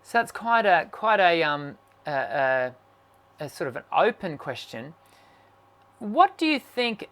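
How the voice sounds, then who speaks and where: A man, a singing teacher hosting a casual live stream, musing aloud and reading out viewer questions. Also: bright, forceful tone. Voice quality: naturally high voice. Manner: musing, reads viewer comments aloud, many fillers and restarts.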